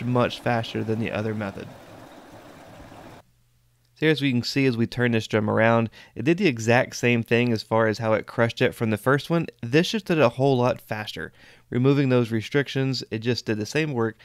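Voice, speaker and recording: A man's voice: lowish voice, no echo. Man explaining HVAC setup, dry voice-over. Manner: plain, step-by-step explaining with occasional "uh". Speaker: HVAC instructor.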